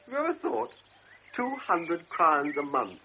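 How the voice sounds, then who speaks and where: An actor playing an earnest, somewhat awkward young cavalry lieutenant who talks politely and hesitantly. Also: male voice, a little high, big pitch swings, measured pace, vocal fry, full character voice. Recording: dull radio drama recording with faint hiss.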